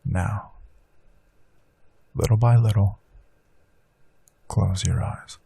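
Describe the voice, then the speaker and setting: A man leading a guided hypnosis meditation, speaking slow soothing affirmations that drift into soft whispers. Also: on the low side, steady tone, vocal fry, a little hiss or hum.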